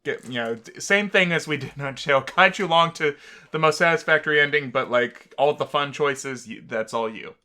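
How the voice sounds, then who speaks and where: A man's voice: on the high side, fairly quick, bright, forceful tone. A man hosting a let's-play, bantering teasingly and reading a visual novel game aloud.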